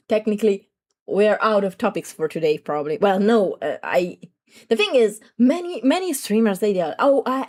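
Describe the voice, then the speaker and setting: Young woman streamer, bit raspy today, rambles on and on chatting w chat. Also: medium pitch, a little mellow. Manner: rambling, chatty, greets viewers. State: slightly raspy throat. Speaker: VTuber streamer. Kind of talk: casual livestream chat.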